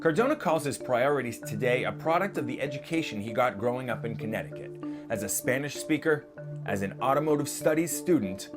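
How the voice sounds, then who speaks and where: A male news reporter narrating a piece and asking interview questions in a lively, playful manner. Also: medium pitch, brisk, music in the background.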